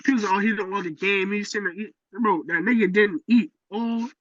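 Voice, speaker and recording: Slangy dude on a podcast, high bright voice, real emphatic, kinda muffled lo-fi audio. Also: male voice, brisk, dry recording. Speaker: podcast co-host. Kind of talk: casual opinion chat. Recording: dull lo-fi sound.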